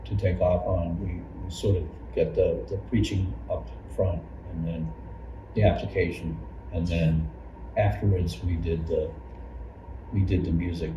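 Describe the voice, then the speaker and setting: Deep voiced guy reminiscing, stop and start, noisy echoey recording. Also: flat delivery, highs cut off. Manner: halting, repeats words as he forms thoughts. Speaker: longtime church member being interviewed. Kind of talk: informal spoken recollection in a group interview. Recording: noisy, echoey room, far from mic.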